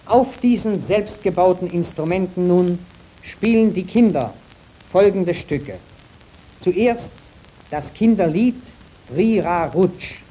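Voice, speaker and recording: A man announcing a children's radio program in slow, formal early radio style, listing items in a measured way. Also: high-pitched, lively intonation, dark tone, slight background noise, low-quality recording. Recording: archival 1931 radio recording.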